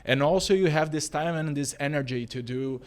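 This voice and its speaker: A man with a Brazilian Portuguese accent, a native Portuguese speaker, hosting a casual podcast interview in informal, halting English. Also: a little high. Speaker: podcast host.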